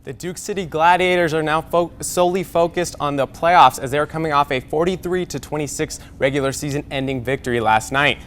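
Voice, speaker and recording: A man's voice: a little high, a little fast, hardly any pauses, a bit bright, a little hiss or hum. A young man anchoring a scripted TV sports newscast. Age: young adult.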